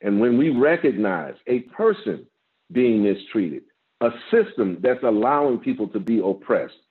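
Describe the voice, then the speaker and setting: A man in his fifties delivers a sermon over a video call, his strong, mid-pitched voice measured and building to emphatic peaks. The sound is thin and phone-like, as laptop call audio tends to be. He speaks from a small office. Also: lively intonation. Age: 50s. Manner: measured preaching, rising with emphasis, repeats words. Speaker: guest pastor. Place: office with framed certificates, indoors. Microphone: video call, laptop camera.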